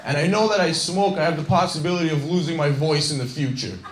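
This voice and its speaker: A man's voice: a little high, fast talker, a little hiss or hum. A young man from Scarborough doing a self-deprecating, rambling stand-up set on a club stage with a handheld mic. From Scarborough, Canada. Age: young adult. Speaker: stand-up comedian. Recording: echoey club with loud laughing crowd. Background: audience laughter.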